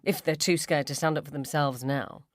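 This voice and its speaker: A woman's voice: low voice, steady tone, normal speed, no echo, studio quality, close to an everyday voice. A woman voicing a mischievous mum in a short story, teasing and blunt with breezy false innocence. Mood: gleeful needling. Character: mischievous, needling mum provoking her friends, falsely innocent. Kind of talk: short story character dialogue.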